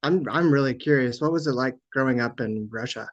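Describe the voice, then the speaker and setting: A man's voice: mid-range voice, brisk. A man hosting a podcast interview in a friendly, easygoing way, with frequent ums and short backchannels. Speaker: podcast host. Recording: dull, muffled podcast mic.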